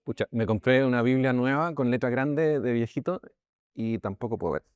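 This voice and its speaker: Chatty Chilean pastor teaching a class. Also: male voice, mid-range voice, moderate pace, a little mellow, clear, resonant tone, no room sound. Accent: Chilean Spanish. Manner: conversational, checks in with listeners with "ya?"; many restarts and repeated words. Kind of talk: informal Bible lesson.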